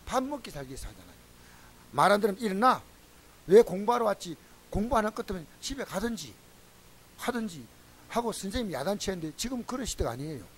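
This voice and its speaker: A man's voice: sits high, a little hiss or hum, poor audio. A man preaching a sermon as pastor, speaking in a Gyeongsang accent and telling folksy stories to his congregation. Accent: Gyeongsang Korean. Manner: folksy, animated storytelling to the congregation.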